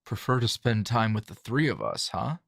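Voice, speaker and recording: A man playing a calm, dry, teasing hero and coworker in an intimate ASMR roleplay, talking in a low-key, halting, conversational way. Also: vocal fry, dry recording, heavily acted. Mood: reassuring.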